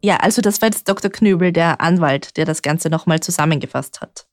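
A woman reporter hosting a true-crime podcast, explaining the case in a measured way. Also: lowish voice, no echo, soft background music. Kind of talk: scripted true-crime narration.

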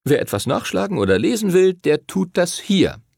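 Storybook library master, played by a man: small, friendly, solemn teacher, pitched up, animated, husky edge. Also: normal speed, dry recording, clean studio sound, heavily acted. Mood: strict, instructive. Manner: solemn, instructive.

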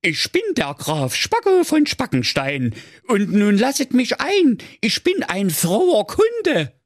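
A man's voice: high-pitched, wildly swooping pitch, slow pace, dry recording, studio quality, pitched above the performer's own voice, exaggerated voice. A man voicing an eager, bumbling young count who talks overly formally.

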